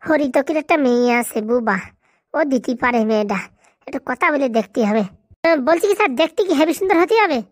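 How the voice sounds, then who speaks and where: A woman's voice: high voice, expressive, brisk, no room sound, clean studio sound. A woman voicing a scheming, fretful male village sidekick in a comic cartoon, chattering deferentially in dialect. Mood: hesitant. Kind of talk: comic Bengali cartoon dialogue.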